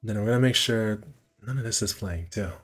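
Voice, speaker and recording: A man's voice: fairly low pitch, quick pace, husky, faint music behind. A man casually streaming a visual novel, reading the narration aloud and correcting himself with muttered asides.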